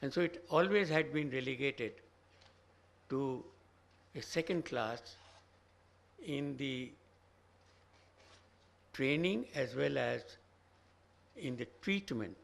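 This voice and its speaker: Slow, gravelly older man, hoarse. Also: mid-range voice, lively intonation. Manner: slow, measured, choosing words carefully. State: slightly hoarse. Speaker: chief guest, professor emeritus of neurosurgery. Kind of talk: formal address. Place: conference hall.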